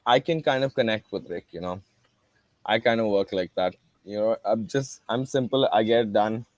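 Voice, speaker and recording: A man's voice: lowish voice, moderate pace, a little mellow, dry recording. A man co-hosting a casual live chat, blunt and joking. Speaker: co-host.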